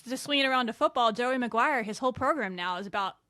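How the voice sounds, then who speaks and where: A woman co-hosting a casual sports podcast, talking in an animated way, laughing and repeating words as she starts her sentences. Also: on the high side, fast talker, dry recording. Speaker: podcast co-host.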